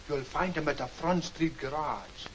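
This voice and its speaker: An actor playing a blunt, rough-talking gangster henchman in a 1940s film serial. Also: a little high, a little slow, slight background noise, close to an everyday voice. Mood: urgent, conspiratorial.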